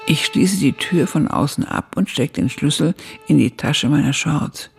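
An actress playing an elderly woman who recalls her youth in a radio drama, with a gravelly, husky voice. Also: sits low, measured pace. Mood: reflective. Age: older. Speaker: narrator. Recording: music behind the voice.

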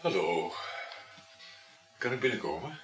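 An actor in a radio play voicing a probing, jealous married man carrying on an affair, with a husky voice. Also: lowish voice, very animated, unhurried, loud music behind the voice. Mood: subdued, earnest. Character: married male lover, insecure, probing, jealous.

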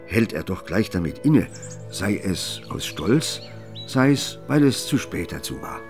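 A man narrating a literary radio play in a measured, storytelling way. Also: fairly low pitch, very animated, over music.

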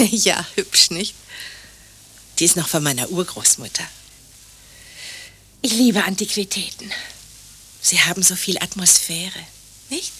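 An actress playing a coy, genteel, chatty hostess with an oddly unfeeling streak in an absurd radio play. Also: female voice, lowish voice, expressive, slow pace, pauses often, sharp tone, husky, heavily acted. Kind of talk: German radio play acting, absurd satire.

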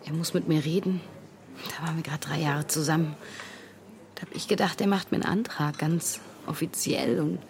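An actress playing a grieving, insistent young woman in a naturalistic radio drama, speaking earnestly and haltingly. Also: sits low, steady tone, a little mellow. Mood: hurt, pleading. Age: young adult.

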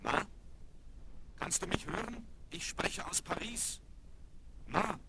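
An actor playing a famous young American aviator, modest and boyish, in a German children's radio play. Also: male voice, high voice, expressive, takes it slowly, creaky, heavily acted. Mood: excited, affectionate. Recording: noisy, crackly vinyl record transfer.

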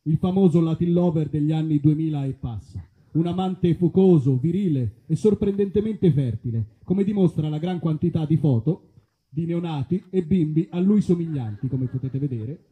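A man's voice: a little high, normal speed, phone-quality sound. A man narrating a live comedy reading in a theatrical, mock-epic, rambling manner. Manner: theatrical, absurd rambling lists.

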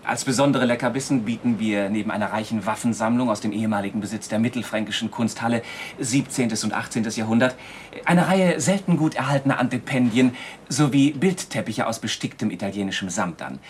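An actor playing a polished, formal, long-winded art patron in a 1960s German television series. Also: male voice, fairly quick, close to an everyday voice. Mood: courteous, self-assured. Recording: noisy 1960s TV soundtrack with rumble.

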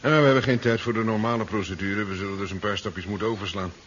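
An actor playing a brisk, sardonic professor with a wry manner in a 1970s science fiction radio play. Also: male voice, on the low side, steady tone, fairly bright tone, lightly acted. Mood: absorbed. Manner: wry, chuckling, ends remarks with questioning tags. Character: brisk, sardonic male professor in his sea-side laboratory. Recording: dull, noisy 1970s radio recording.

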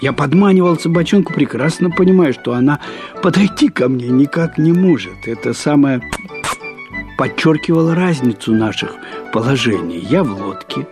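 A man narrating a first-person literary short story in a deliberate, wryly humorous manner, with measured pauses and repetitions. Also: fairly high pitch, lively intonation, music in the background.

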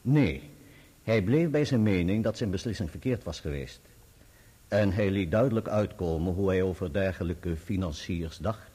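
A composed barrister on the witness stand in a radio drama. He explains things candidly and formally in a low, dark voice, rising and falling freely. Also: lively intonation, moderate pace, slightly rough. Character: composed, candid male barrister giving testimony. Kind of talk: radio play courtroom acting.

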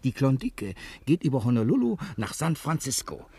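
A man's voice: mid-range voice, very animated, moderate pace, mellow, husky, no room sound. A man acting in a 1960s German radio drama as a cunning, adventurous husband on the run, playful and commanding in a conspiratorial way. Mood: explaining plan.

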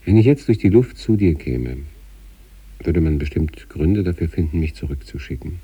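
A man acting an obsessive sanatorium patient in a literary radio monologue, reading out letters to his wife with measured, introspective intensity and a husky, gravelly voice. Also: animated, no echo, recorded from a distance, full character voice. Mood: absorbed, determined. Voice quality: husky, gravelly, dark low voice.

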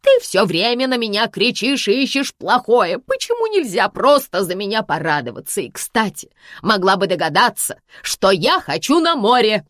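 A woman voicing a capricious, theatrical mother in her seventies, whiny and melodramatic, with a lively, swooping pitch. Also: normal speed, no echo, clean studio sound. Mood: offended. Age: 70s.

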